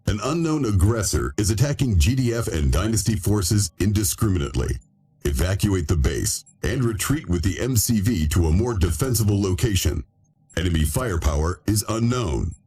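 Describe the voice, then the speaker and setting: An actor playing a grave military officer giving a clipped mission briefing in a video game. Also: male voice, fairly low pitch, big pitch swings, normal speed, warm tone, husky, soft background music, exaggerated voice.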